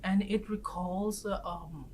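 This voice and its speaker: A young woman from South Asia, speaking in a measured, somewhat hesitant way in a casual discussion. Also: mid-range voice, fairly even intonation, darkish tone, slight background noise. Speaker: student panelist.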